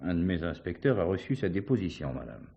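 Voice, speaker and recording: Police commissioner in a stage whodunit, really low voice, measured, ironic, sure of himself. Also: male voice, sing-song, vocal fry, mellow, lo-fi sound, full character voice. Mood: methodical, accusing. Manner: measured, theatrical, ironically courteous interrogator. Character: shrewd, assured male police commissioner confronting a suspect. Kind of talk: French stage mystery acting.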